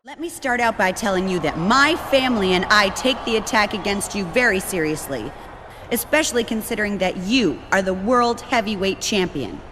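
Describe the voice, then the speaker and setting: A stern female wrestling boss played by an actress in a video game. She speaks with authority at a medium pitch, with clear noise behind her. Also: lively intonation, moderate pace, lightly acted. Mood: firm, businesslike. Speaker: general manager. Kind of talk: video game cutscene acting.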